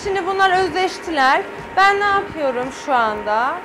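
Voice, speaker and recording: A woman's voice: very high voice, animated, normal speed, over music. A middle-aged woman, a guest chef on a studio cooking show, explaining each step as she works. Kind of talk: cooking demonstration. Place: TV studio kitchen set.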